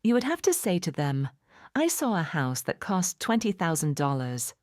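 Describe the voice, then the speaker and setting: A woman narrating a children's storybook in a measured, clearly phrased, expressive bedtime-story manner. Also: lowish voice, no echo, studio quality. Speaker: audiobook narrator.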